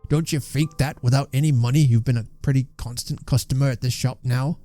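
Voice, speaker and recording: A man voicing a stout, bullying landlord in a story, softly menacing, sarcastic and scolding. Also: mid-range voice, steady tone, fairly quick, soft background music, lightly acted. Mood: soft sarcasm. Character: smug, bullying stout landlord feigning soft politeness.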